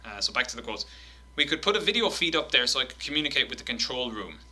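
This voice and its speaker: Bright-voiced man reading quotes. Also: medium pitch, fast talker, breathy edge. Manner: animated, swearing, laughing while reading quotes. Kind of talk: casual chat, reading quotes aloud.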